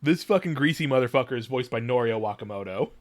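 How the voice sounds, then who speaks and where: A man's voice: mid-range voice, expressive, quick pace. A man giving casual, rambling live-stream commentary, often circling back to rephrase, in informal and occasionally profane language. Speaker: streamer hosting a game stream. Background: game music.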